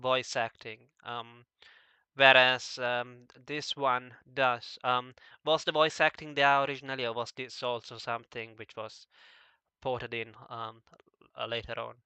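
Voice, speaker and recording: A man talking casually as an interviewer, halting and stammering over the starts of his words. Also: mid-range voice, steady tone, sharp tone, clean tone, no echo. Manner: halting, stammering, frequently repeating word starts. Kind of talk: casual discussion of visual novels.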